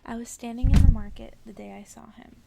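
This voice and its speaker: A woman reading a story aloud in a soft, breathy ASMR whisper, hushed and gentle. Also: a little high, fairly even intonation, brisk, very noisy.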